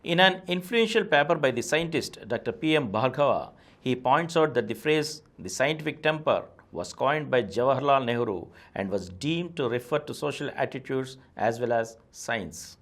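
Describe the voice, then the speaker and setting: A middle-aged man with an Indian English accent reads a nonfiction book aloud at a steady, deliberate pace, his eyes on the page. His voice sits in an ordinary mid pitch for a man, close on a lapel mic in a small studio. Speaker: channel host reading a book aloud. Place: small studio with a painted backdrop and plants.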